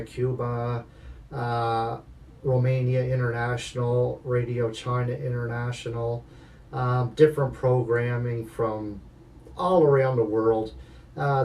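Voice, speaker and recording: A man's voice: medium pitch, darkish tone, far from the mic, a little hiss or hum. A man giving a casual, unscripted product review in a hesitant, rambling way. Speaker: video maker reviewing a radio. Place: inside an apartment.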